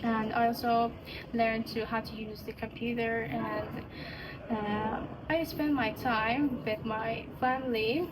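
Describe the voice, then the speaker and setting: A woman's voice: on the high side, fairly even intonation, slow pace. A teenage girl from Afghanistan answering interview questions in English, hesitant, with many fillers and restarts. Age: teens. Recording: distant mic with clear background noise.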